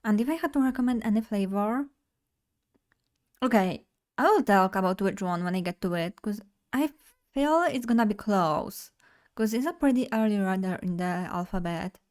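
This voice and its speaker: A woman's voice: medium pitch, lively intonation, moderate pace, darkish tone. A young woman from Poland, chatting bubbly and directly to her live chat as a streamer at a desk mic. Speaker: vtuber streamer.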